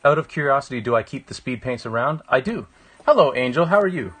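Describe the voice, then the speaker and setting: A man's voice: medium pitch, lively intonation, quick pace, a bit bright, husky. Reflective man chatting over music. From Canada. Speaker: live-streaming painter. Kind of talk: casual chat with livestream viewers. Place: small indoor room with a painting on the wall.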